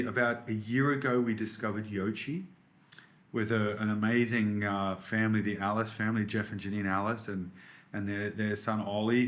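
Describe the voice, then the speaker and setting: A man in his thirties from Australia with an Australian accent, a podcast guest chatting casually and enthusiastically, full of restarts and fillers. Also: fairly low pitch. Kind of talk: casual interview chat.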